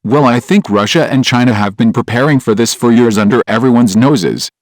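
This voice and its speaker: Retired military commander as news pundit, acted by a man, medium pitch, plain explanatory delivery, dry recording. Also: fairly quick, no room sound, lightly acted. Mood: serious, analytical. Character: serious male former military commander turned news analyst. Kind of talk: scripted interview commentary.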